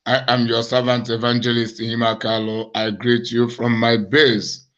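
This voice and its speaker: A man, an evangelist hosting his own show, speaking slowly and deliberately to viewers in a hoarse, cracked voice from a cough. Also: mid-range voice, little pitch movement, fairly bright tone. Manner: slow, deliberate, accusatory. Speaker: evangelist and host. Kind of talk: monologue address to viewers. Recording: dull lo-fi recording.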